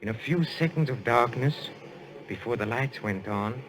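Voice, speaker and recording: An actor playing a suave, assured detective in a radio mystery, speaking in a courtly, deliberate way. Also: male voice, mid-range voice, natural voice, low-quality recording. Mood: grave, revealing. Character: suave, assured French detective, male, posing as a medium. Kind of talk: old-time radio mystery acting.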